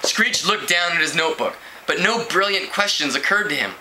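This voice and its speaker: A man with a very bright, slightly high voice reads a teen novel aloud. His delivery is expressive and animated, as an amateur storyteller's would be. The recording has clear background noise. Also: normal speed. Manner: expressive, acts out dialogue with pitch jumps. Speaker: amateur book reader. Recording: clearly noisy home recording.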